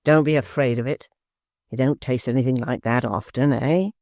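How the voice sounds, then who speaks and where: A woman voicing a scheming blind old man who speaks slowly and coaxingly. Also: a bass voice, darkish tone, no room sound, studio quality. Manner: slow, coaxing, insinuating.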